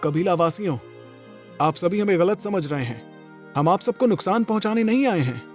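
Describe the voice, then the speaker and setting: A young hero leading his friends in a cartoon tale acted by a man. The voice is high for a male, earnest and theatrical, over faint background music. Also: lively intonation, normal speed. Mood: appealing.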